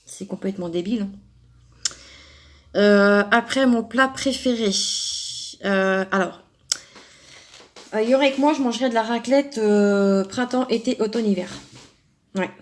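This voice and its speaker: A woman's voice: a little high, measured pace, pauses often. A young woman from France chatting casually to her subscribers, rambling with lots of fillers and restarts as she answers questions. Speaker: craft YouTuber answering a subscriber tag. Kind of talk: casual chat to camera.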